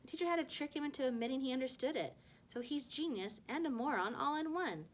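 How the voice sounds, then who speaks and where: A woman's voice: high-pitched, expressive, fast, pitched above the performer's own voice. A woman voicing a defensive, exasperated middle-school boy in a children's novel, with a wry, protesting manner.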